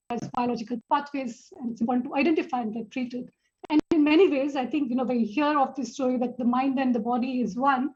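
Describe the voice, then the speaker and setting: A woman with an Indian accent moderating a panel, halting as she introduces guests and puts questions to them. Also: high-pitched, darkish tone, highs cut off.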